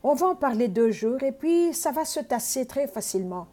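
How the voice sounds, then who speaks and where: Earnest woman preacher, mellow voice. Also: sits high, big pitch swings, moderate pace. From Congo. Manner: earnest teaching tone, frequent hesitations. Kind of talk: sermon.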